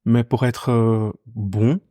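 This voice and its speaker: A young man from Ghana, a musician, chatting in a relaxed, halting way with many fillers and restarts. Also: medium pitch, dry recording, studio quality. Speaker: musician guest. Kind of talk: casual interview chat.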